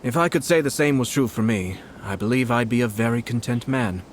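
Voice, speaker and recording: An actor playing a composed young male noble commissioner who speaks in a calm, measured, courteous way in a game cutscene. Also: mid-range voice, a little hiss or hum, natural voice. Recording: dialogue over music score. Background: orchestral game music.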